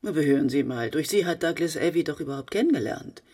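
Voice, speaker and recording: Husky, brittle middle-aged widow. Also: female voice, deep voice, expressive, quick pace, mellow, recorded from a distance. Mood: nostalgic. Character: brittle, wistful widowed mother who likes whisky. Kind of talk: German radio drama acting.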